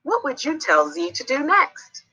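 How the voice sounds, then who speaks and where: A woman's voice: high-pitched, huge pitch swings, measured pace, bright, forceful tone. A woman narrating a children's read-along story expressively, putting guiding questions to young listeners.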